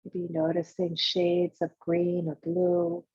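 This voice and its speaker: A woman leading a guided visualization as a meditation guide, speaking in short, unhurried instructional phrases with pauses between them, in a slightly husky voice. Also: on the low side, completely flat delivery, a little mellow. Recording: muffled, roomy, echoey sound.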